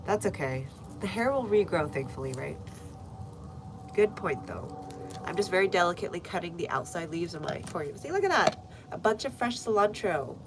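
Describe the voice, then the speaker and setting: A woman's voice: fairly low pitch, sing-song, brisk, music in the background. A woman with a stuffy nose chatting casually on a live stream from her garden, reading viewer comments aloud between fillers. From United States. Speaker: gardening livestreamer. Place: outdoor front garden.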